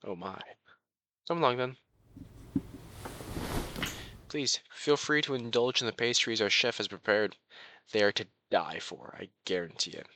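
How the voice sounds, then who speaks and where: A man playing a courtly young crown prince, besotted and quietly possessive, speaking formally and floridly to the listener in a romantic audio roleplay. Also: on the low side, moderate pace. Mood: smitten, gracious. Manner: formal, flowery, genteel speech.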